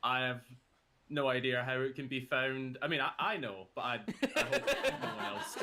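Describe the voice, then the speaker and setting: A man's voice: mid-range voice, lively intonation, normal speed, a loud crowd. A man on a voice actor panel talking casually in a sheepish, joking way.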